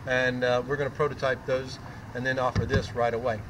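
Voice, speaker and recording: A man's voice: mid-range voice, flat delivery, a little fast, background noise. An older man standing in shallow water outdoors, explaining a boat kit in a casual, conversational way with frequent fillers. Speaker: product demonstrator. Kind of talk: casual product demonstration. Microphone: camera mic at medium distance.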